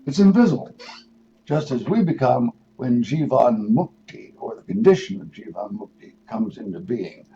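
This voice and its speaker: An older man, in his sixties or beyond, hosting a radio show with a rambling spiritual monologue, musing and halting, then leaning into words for emphasis. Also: medium pitch, mellow. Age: 60s or older. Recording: dull lo-fi radio audio with noise.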